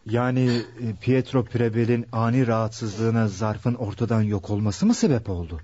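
An actor playing a sober, protective and earnest sweetheart with a husky voice in a dramatic radio play. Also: male voice, lowish voice, steady tone, normal speed, mellow, highs cut off, natural voice. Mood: concerned.

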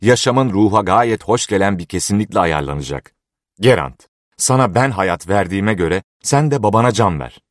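A man's voice: lowish voice, animated, normal speed, dry recording, clean studio sound, natural voice. A man voicing a sinister, mocking little old creature of a man. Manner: mocking, sly. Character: sinister little old male goblin-like being.

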